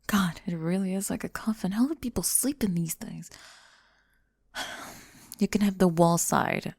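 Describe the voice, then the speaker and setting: A young woman playing a guarded, flustered college student in an intimate ASMR roleplay, speaking softly and haltingly, often slipping into whispers. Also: lowish voice, vocal fry, slightly rough, no room sound, full character voice. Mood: irritated, guarded. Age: young adult. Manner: halting, sighing, self-conscious. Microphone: close microphone, dry.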